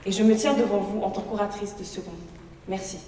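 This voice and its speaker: Teen girl, mellow poised introduction, far from the mic in an echoey hall. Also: mid-range voice, steady tone, brisk, a little hiss or hum. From Democratic Republic of the Congo. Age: teenager of 15. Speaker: student speaker in a speech contest. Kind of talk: formal self-introduction.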